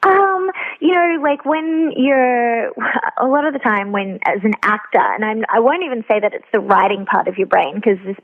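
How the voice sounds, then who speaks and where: A woman's voice: fairly high pitch, normal speed. A woman interview guest talking casually over a phone, informally, peppering her talk with "like". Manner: informal, filler-heavy with frequent "like". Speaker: guest, actor and writer. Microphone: phone call. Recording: tinny phone line.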